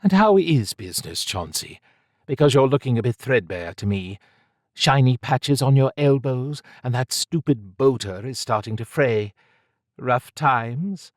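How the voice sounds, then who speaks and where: A tough woman agent in an audiobook story, voiced by a male narrator, lifted in pitch and recorded dry. She is cool and sardonic, needling with pointed, cutting remarks. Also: lively intonation, measured pace, dry recording.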